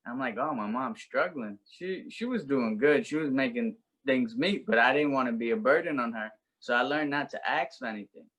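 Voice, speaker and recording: A man's voice: mid-range voice, lively intonation, moderate pace, hardly any pauses, a bit bright. A young man, telling his life story as a podcast guest in an earnest, reflective way. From Brooklyn, New York. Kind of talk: personal story in an interview.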